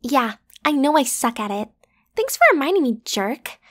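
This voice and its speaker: A woman's voice: high-pitched, very animated, normal speed, a bit bright, heavily acted. A woman playing a bratty, playful popular student girl in an ASMR roleplay, with casual, slangy, teasing delivery. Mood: defensive, teasing. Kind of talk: ASMR roleplay acting, one side of a conversation.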